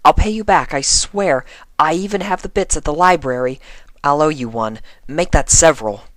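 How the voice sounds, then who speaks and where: A man voicing an earnest, apologetic young female pony in a pleading, hurried manner, as if speaking a letter. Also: fairly high pitch, fairly bright tone, dry recording, pitched above the performer's own voice, lightly acted.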